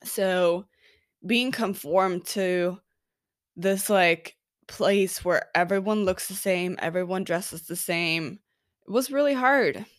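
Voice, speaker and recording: A woman's voice: on the low side, slow pace, dry recording, clean studio sound. A woman in her twenties from Norway hosting her own podcast, chatting casually and upbeat, with lots of "like" and fillers. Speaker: podcast host. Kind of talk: casual solo podcast monologue.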